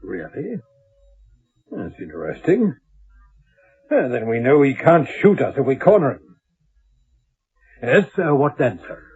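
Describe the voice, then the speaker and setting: Husky, probing police sergeant. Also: male voice, medium pitch, sing-song, a little mellow, heavily acted. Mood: curious. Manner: deliberate, probing, courteous, with hesitations. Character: inquisitive, courteous police sergeant questioning a witness. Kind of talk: radio drama acting. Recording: dull, muffled old radio recording.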